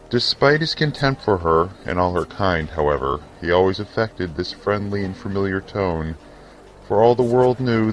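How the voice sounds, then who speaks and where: Really deep guy narrating a fantasy audio drama, measured n theatrical, some music behind. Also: rough recording.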